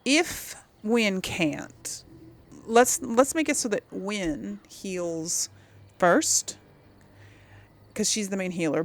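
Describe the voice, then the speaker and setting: A woman's voice: mid-range voice, vocal fry. A woman giving casual game commentary, musing aloud as she plays and role-plays dialogue. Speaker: gamer, series host.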